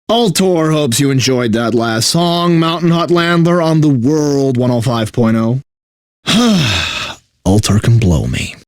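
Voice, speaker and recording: A man's voice: mid-range voice, no room sound, studio quality. A man playing a bitter, burnt-out corporate radio DJ in a video game, mixing announcer patter with sarcastic, exasperated asides. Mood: weary, sardonic. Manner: exaggerated announcer delivery with sardonic, exasperated asides.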